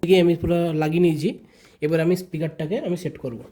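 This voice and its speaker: A man's voice: fairly high pitch, steady tone, rapid-fire, mellow, husky. A man giving a casual step-by-step tutorial, speaking informally to viewers and often repeating his phrases. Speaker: vlogger presenting a tutorial.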